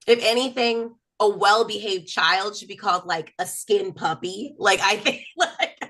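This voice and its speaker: A woman's voice: mid-range voice, unhurried. A woman from Texas doing a self-mocking stand-up comedy set on a video call. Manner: chatty, self-mocking, lively storytelling.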